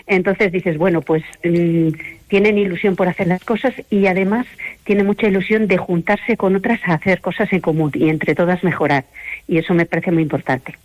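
A woman's voice: fairly low pitch, steady tone, fairly quick, darkish tone, highs cut off. A woman in her fifties from Madrid, a rural development worker talking as a radio guest, hesitant with many fillers and little laughs. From Madrid, Spain.

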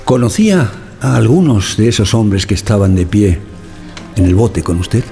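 A man's voice: on the low side, expressive, moderate pace, creaky, warm tone, soft background music. A man playing a formal, probing examiner who questions a survivor in a radio drama.

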